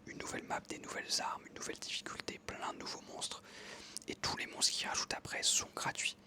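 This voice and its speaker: Young ASMR gaming narrator, close-miked breathy whisper, very sibilant and sharp, casual enthusiastic chat. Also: fairly quick. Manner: informal, enthusiastic gamer talk. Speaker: ASMR creator narrating own gameplay. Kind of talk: ASMR whispered casual storytelling over gameplay.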